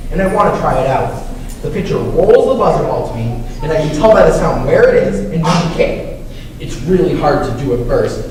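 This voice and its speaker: A man's voice: a little high, natural voice. A man voicing a cheerful blind schoolboy puppet in a scripted children's show, explaining things simply and eagerly. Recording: very echoey distant room, faint noise.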